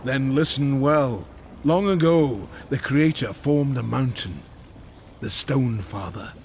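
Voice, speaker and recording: A man playing a solemn old Maker in a fantasy game, telling ancient lore in a grave, deliberate manner. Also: mid-range voice, darkish tone, a little hiss or hum.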